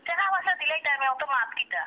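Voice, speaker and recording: A woman's voice: high voice, lively intonation, very fast, a crowd in the background. A woman with a Sylheti accent playing a pushy, quarrelsome mother in a prank phone call, insistent and accusing. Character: pushy, quarrelsome mother demanding her money back. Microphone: telephone. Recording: muffled lo-fi phone line.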